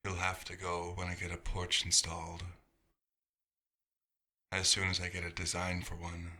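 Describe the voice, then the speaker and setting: A man playing a nostalgic, fastidious vampire doctor in an ASMR roleplay, murmuring to himself in an unhurried, gently wistful way. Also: low-pitched, monotone. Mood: calm, musing.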